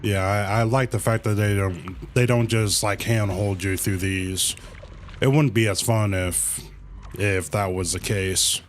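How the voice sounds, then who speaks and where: Slow, low-voiced man streaming a game. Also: fairly even intonation, slight background noise. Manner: informal slangy asides, thinking aloud. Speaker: livestreamer. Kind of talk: casual game playthrough commentary.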